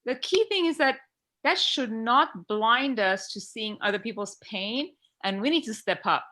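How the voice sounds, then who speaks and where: Woman from Iran, podcast panelist, bright tone, heartfelt rambling talker on a video call. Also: a little high, animated, measured pace. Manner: heartfelt, rambling with many fillers. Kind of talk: earnest discussion on a video call.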